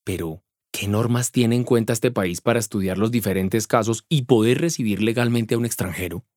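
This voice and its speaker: A man's voice: fairly low pitch, moderate pace, a little mellow, dry recording. Colombian guy, slangy and curious, chatty neighbor asking stuff, dry close mic. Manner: folksy, slangy, inquisitive. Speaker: curious neighbor learning about migration. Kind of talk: scripted radio drama dialogue.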